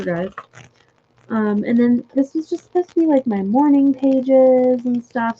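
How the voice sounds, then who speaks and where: A young woman chatting casually with viewers on a livestream from home, giggly and full of fillers, her voice going a little hoarse. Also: on the high side, muffled recording. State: losing her voice. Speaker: livestream host. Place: home room.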